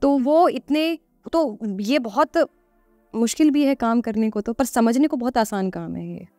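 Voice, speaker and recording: A woman's voice: on the high side, measured pace, mellow, no echo, studio quality, faint music behind. A woman guest on a podcast, explaining earnestly and conversationally, repeating phrases for emphasis and posing rhetorical questions.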